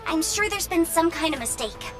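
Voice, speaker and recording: Very high, excitable female operator. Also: moderate pace, music in the background. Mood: flustered, resigned. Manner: scolding, excitable. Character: flustered, rule-minded young female mission operator. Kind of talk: dubbed game cutscene voice acting.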